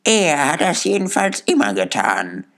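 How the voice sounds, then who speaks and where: A man doing a shrill, brash old hag. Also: sing-song, measured pace, creaky, fairly bright tone, heavily acted. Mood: defiant. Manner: coarse, taunting, shrill. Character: brash, defiant old woman thief. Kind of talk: audiobook character voice.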